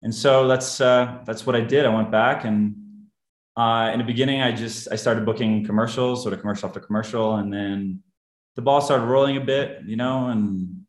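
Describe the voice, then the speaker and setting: A man born in Brazil with Portuguese as his first language, a guest actor chatting casually in an interview and telling his story in a relaxed, self-deprecating way. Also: mid-range voice, normal speed, echoey room.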